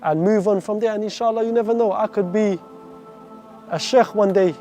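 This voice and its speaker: A man's voice: high-pitched, normal speed, mellow, faint music behind. A man in his twenties from Iraq, giving an earnest, heartfelt faith testimony full of devout phrases. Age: 20s.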